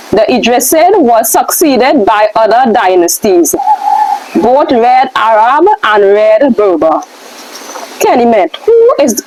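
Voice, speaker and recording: A woman reading aloud from a book as one of the readers, with a somewhat halting delivery. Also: on the high side, darkish tone, a little hiss or hum, rough recording. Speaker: reader in a question-and-answer scroll reading.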